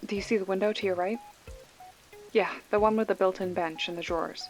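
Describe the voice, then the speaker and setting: A woman's voice: medium pitch, fairly even intonation, a little fast, lightly acted. A woman plays a young female video store clerk in an ASMR mystery drama, talking as if on a phone call. Her mid-range voice is dry and sarcastic, loose with swearing, yet protective underneath. Music runs behind her. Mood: urgent, focused. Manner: wry, snarky, casual with swearing. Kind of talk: ASMR roleplay acting, one-sided phone call.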